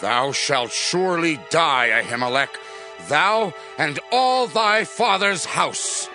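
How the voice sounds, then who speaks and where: A man's voice: a little high, expressive, takes it slowly, bright, forceful tone, soft background music, exaggerated voice. A man playing a wrathful, suspicious king in a dramatized scripture reading, declaiming and accusing. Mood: enraged.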